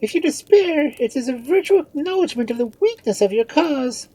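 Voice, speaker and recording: A woman's voice: high-pitched, lively intonation, moderate pace, warm tone, dry recording, pitched above the performer's own voice, low-quality recording. A woman voicing an earnest, anxious female attendant to a queen in a story, urgent and dramatic. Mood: urgent encouragement.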